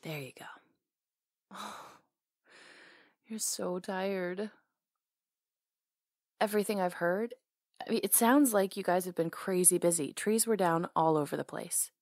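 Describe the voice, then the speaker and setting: A woman's voice: lowish voice, takes it slowly, pauses often, a little mellow, no room sound, studio quality. A woman acting in an intimate ASMR roleplay as a hair stylist, talking casually in a caring, teasing way.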